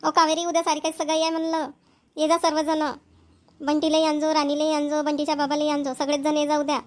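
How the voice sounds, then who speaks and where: A woman voicing a busy village mother in an amateur cartoon comedy, speaking homely, repetitive Vidarbha Marathi in a hurried manner. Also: sits high, monotone, close to an everyday voice. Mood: brisk, insistent. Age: middle-aged. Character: busy village mother rushing to invite neighbours to engagement.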